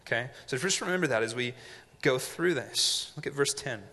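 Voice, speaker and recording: A man's voice: medium pitch, lively intonation, normal speed, bright, forceful tone, husky. A man in his twenties preaching a sermon as pastor, conversational with joking asides and frequent check-ins with the audience. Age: 20s. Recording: reverberant hall.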